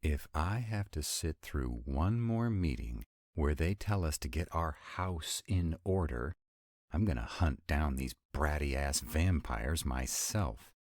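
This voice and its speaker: A man's voice: lively intonation, slow pace, darkish tone, no echo, clean studio sound, exaggerated voice. A man playing a sarcastic, grumbling yet affectionate vampire in a romantic roleplay audio, with exasperated, intimate delivery. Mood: exasperated ranting. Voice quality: very deep voice. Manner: sardonic, exasperated, intimate, swooping emphasis.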